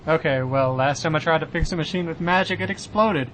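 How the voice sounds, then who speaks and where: A man's voice: a little high, brisk, a bit bright, a little hiss or hum, close to an everyday voice. A man playing an exasperated brother on lookout, bantering conversationally in an audio comedy drama. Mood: defensive. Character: exasperated magic-using brother acting as lookout.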